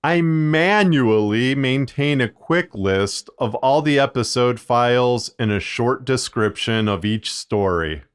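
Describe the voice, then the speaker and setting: A man narrating a scripted, conversational tutorial in a measured, plain-spoken and methodical way. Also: medium pitch, smooth. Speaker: podcast creator and tutorial narrator.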